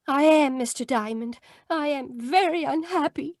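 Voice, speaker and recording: Woman to play a flirty, helpless radio ingenue, high and unhurried, coy with every line. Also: dry recording, studio quality. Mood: plaintive. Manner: coy, drawn-out. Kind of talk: old-time radio detective drama acting. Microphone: video call.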